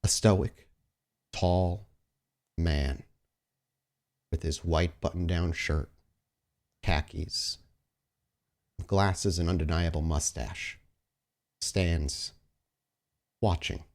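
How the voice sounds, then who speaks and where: Low-voiced man narrating as game master. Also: fairly even intonation, drawn-out words, pauses often, a little mellow. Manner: descriptive, cinematic scene-setting. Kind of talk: narrating a tabletop roleplaying game.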